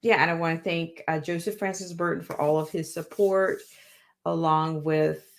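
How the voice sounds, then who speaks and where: A woman's voice: low voice, fairly even intonation, moderate pace. A woman hosting a live stream, thanking viewers in a loose, rambling way with many fillers. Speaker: livestream host. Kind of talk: casual live stream sign-off.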